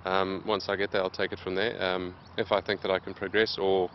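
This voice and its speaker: Interview subject: man in his late 20s with a low voice, talking steadily with lots of "um"s, in a dull, lo-fi outdoor recording. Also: slight background noise. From Zimbabwe. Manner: steady, plain, many "um" pauses. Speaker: interviewed athlete. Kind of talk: casual interview answers.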